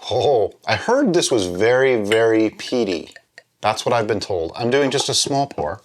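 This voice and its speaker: Man chatting with lively, swinging pitch. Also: a little hiss or hum. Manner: musing, explanatory, with pauses and checks of "okay?". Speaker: host of a drinks review vlog. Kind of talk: casual chat, tasting review.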